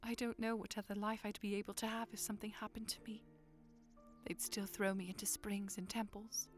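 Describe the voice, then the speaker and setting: A woman's voice: medium pitch, little pitch movement, fairly quick, soft background music, natural voice. A woman playing a proud but self-doubting young princess in an audio drama, speaking earnestly in formal wording. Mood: quiet, fearful.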